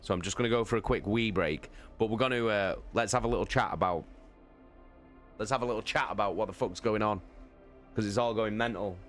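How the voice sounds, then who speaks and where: A deep-voiced man hosts a livestream with loose, informal chat. He swears freely, and music sits behind him. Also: a little fast, a bit bright. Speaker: livestream host. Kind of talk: casual chat.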